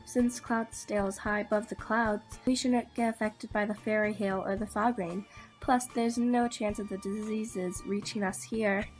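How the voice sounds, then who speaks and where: Woman doing a nice pony princess from a cartoon fan story, mid voice, gentle, bit worried. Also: medium pitch, steady tone, normal speed, soft background music, natural voice. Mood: hopeful. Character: kindly, concerned female pony princess, sister-in-law of heroine.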